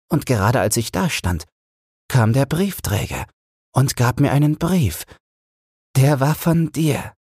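A fond old wife played by a man reminisces in a bedtime storybook reading. The delivery is slow and smooth, lifted well above the reader's own pitch, and recorded dry. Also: darkish tone, dry recording, exaggerated voice. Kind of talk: audiobook fairy-tale character reading.

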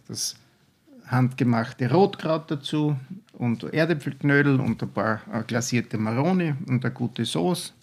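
An older man with an Austrian accent, a guest chef talking enthusiastically in a podcast chat, with many restarts. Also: medium pitch.

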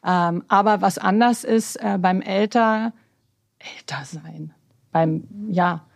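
Relaxed woman with gravelly voice. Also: mid-range voice, slow, dry recording, clean studio sound. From Berlin, Germany. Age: 50s. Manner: easygoing, laughs while talking, many fillers. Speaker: interview guest, personal health coach. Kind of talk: relaxed conversational interview.

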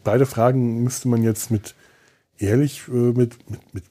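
A man's voice: mid-range voice, fairly even intonation, measured pace, mellow. A man hosting a podcast in a casual, rambling solo monologue, hesitant and thinking aloud, with a wry, self-deprecating manner. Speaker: podcast host. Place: indoors on a sofa.

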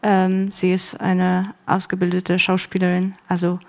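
A woman talks slowly and quite evenly about her radio piece being realized. Her tone stays level as she sounds pleased. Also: lowish voice, monotone, creaky, a little mellow. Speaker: workshop participant, author.

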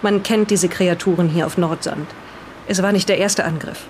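Someone voicing an earnest, defensive island resident in an improvised radio play, speaking deliberately as if reading aloud. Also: mid-range voice, fairly even intonation, slight background noise, close to an everyday voice. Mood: solemn reading.

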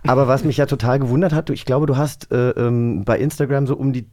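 A man's voice: medium pitch, fairly even intonation, quick pace, mellow. A man co-hosting a podcast and interviewing in a casual, chatty style.

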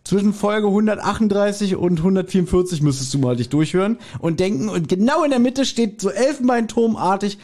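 Slightly high-voiced man, podcast talk. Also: lively intonation, brisk, hardly any pauses, a little mellow. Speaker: podcast co-host reviewing audio dramas. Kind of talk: casual podcast chat.

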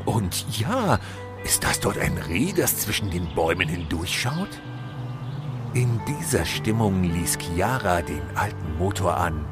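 A man narrating a scripted fairy-tale audio play as a solemn, expressive storyteller. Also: low-pitched.

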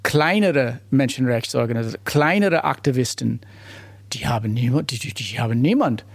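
Man, American accent, non-native German, halting, many restarts, dry close sound. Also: medium pitch, animated, creaky, no room sound. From United States. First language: English. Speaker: human rights investigator. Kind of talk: interview conversation.

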